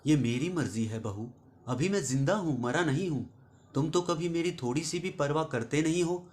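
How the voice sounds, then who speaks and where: An aging storybook father as a man narrator plays him, with a fairly high voice. He is firm and wise, reproachful yet loving, and instructs his family as he admonishes them. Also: moderate pace, a little mellow, close to an everyday voice. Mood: indignant. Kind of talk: storybook character voice.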